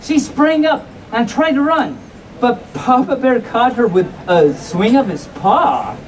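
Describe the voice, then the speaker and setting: A woman's voice: on the high side, expressive, unhurried. A woman reading comic bedtime stories to a live audience, playful and often interrupting herself with chatty asides. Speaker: live storyteller. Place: hotel convention room before a live audience. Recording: distant mic, noisy audience around.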